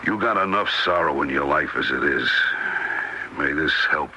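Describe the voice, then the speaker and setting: Low, forceful, brash card sharp. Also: male voice, moderate pace, heavily acted. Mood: confessing, rueful. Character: gruff, brash male card sharp, secretly soft-hearted. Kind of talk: radio drama acting. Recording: noisy vintage radio broadcast.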